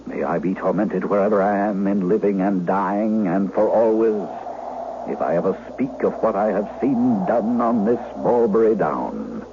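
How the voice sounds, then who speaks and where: An actor playing a menacing, commanding duke in an old-time radio drama, with imperious, formal archaic speech. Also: male voice, on the low side, measured pace. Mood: solemn, commanding. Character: menacing, commanding English duke intimidating a peasant boy. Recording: dull, noisy vintage radio broadcast.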